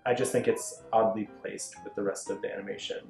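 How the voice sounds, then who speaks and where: A woman's voice: a bass voice, big pitch swings, fast talker, gravelly, a little mellow. A woman talking casually as a panelist in a fan review, explaining her opinions earnestly and with some hedging. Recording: roomy echo, faint background music.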